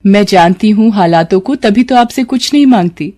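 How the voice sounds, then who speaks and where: A woman's voice: mid-range voice, normal speed, dark tone, lightly acted. Woman acting a modest, affectionate wife. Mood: touched, gently chiding. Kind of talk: acted dialogue in a Hindi moral story.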